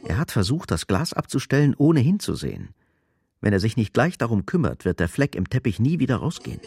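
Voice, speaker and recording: A man's voice: mid-range voice, huge pitch swings, fairly quick, creaky, a little mellow, faint music behind. A man narrating a scripted radio drama as its storyteller.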